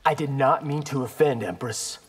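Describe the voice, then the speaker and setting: A man's voice: medium pitch, moderate pace, lightly acted. An actor playing a cocky, loyal young male ninja in a video game, confident and casual. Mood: cocky, animated. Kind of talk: video game character acting. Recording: long reverb, clear background noise.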